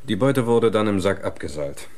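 An actor playing a terse, probing police lieutenant in a German radio crime drama. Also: male voice, on the low side, animated, normal speed, natural voice. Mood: probing, dry. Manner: terse, dry.

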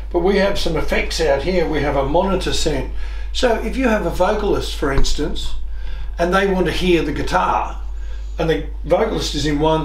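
A man in his fifties with a slightly high voice explains gear in a methodical, plain-spoken way. He sounds like an instructor giving a hands-on tutorial. The recording is noticeably echoey, made in a small room. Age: 50s. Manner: plain-spoken, methodical, uses everyday analogies. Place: small indoor music room with keyboards. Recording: roomy, echoing indoor sound.

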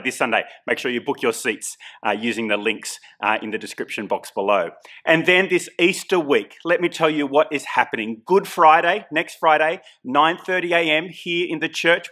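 Bright upbeat Australian minister. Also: male voice, medium pitch. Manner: upbeat, encouraging, emphatic on dates and times. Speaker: senior minister. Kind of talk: church news announcement to camera. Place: indoor studio set with plants and coloured lights. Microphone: camera framing, head and shoulders.

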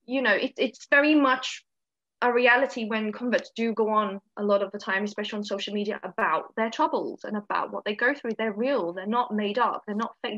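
A woman's voice: medium pitch, fairly bright tone, dry recording. A woman co-hosting a podcast, talking frankly and chattily with lots of fillers and easy laughs. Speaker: podcast co-host. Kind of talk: casual podcast chat. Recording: dull, dry, lo-fi sound.

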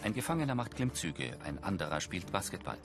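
Low-voiced male narrator over music. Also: sing-song, fairly quick. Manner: neutral, matter-of-fact. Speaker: audio-description narrator. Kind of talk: scripted descriptive narration of a TV series.

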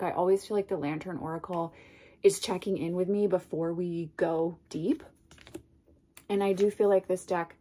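A woman's voice: lowish voice, slightly rough. Congested woman gushing over oracle cards. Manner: gushing and chatty, drifting into asides and soft whispers. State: congested from a cold. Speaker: tarot and oracle deck reviewer. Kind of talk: casual unboxing chat to camera. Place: home room lined with bookshelves, indoors. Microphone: camera in front at desk distance.

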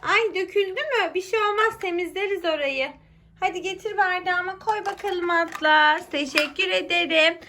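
High, bright-voiced mother playing with her child. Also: moderate pace, slight background noise. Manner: coaxing, encouraging, asking simple questions. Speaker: mother playing pretend with her young son. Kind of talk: casual playful chat with a small child.

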